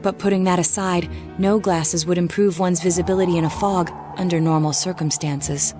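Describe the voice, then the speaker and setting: Over clear music, a composed teenage analyst from an anime game, acted by a woman speaks in a lowish voice. The delivery is formal, precise and measured, with cool self-possession. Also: fairly even intonation, lightly acted. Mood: matter-of-fact. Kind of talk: English anime game voice acting.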